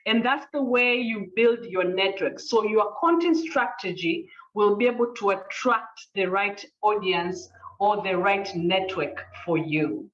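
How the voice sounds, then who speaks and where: A woman's voice: medium pitch, unhurried, few pauses, fairly bright tone. Earnest woman panelist, set back from the mic on a muffled call. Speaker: panel speaker. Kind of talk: conference talk. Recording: distant, lo-fi call audio.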